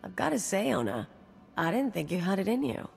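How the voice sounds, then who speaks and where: A woman's voice: medium pitch, very animated, brisk, creaky. A woman playing a cynical, brash young mercenary in a video game, curt, dismissive and sardonic. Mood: mocking. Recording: long reverb, music behind.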